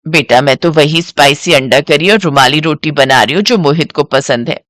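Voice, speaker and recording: A woman's voice: low-pitched, moderate pace, fairly bright tone, no room sound, studio quality, pitched below the performer's own voice. A woman voicing a caring older mother in a moral story, motherly and coaxing.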